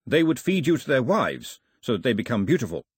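A man voicing a serious, proud young island chief with a measured, blunt way of speaking. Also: mid-range voice, very animated, husky, no room sound. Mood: dry.

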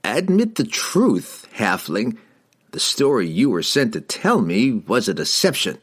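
A man voicing an arrogant, menacing wizard in a fantasy audiobook, slow and imperious as he presses his demands. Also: lowish voice, huge pitch swings, breathy edge, voice pushed up, full character voice. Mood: smug, pressing.

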